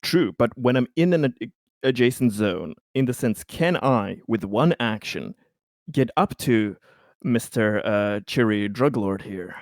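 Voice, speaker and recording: A man at an online game night talking his turn through with the group, medium-pitched, with no room echo. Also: expressive, a little slow, studio quality. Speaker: tabletop game player. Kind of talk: casual game talk.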